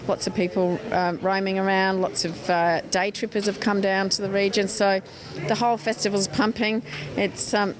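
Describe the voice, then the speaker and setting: A woman giving an informal, enthusiastic interview as an event director. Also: mid-range voice. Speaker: festival director being interviewed. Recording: busy crowd noise behind.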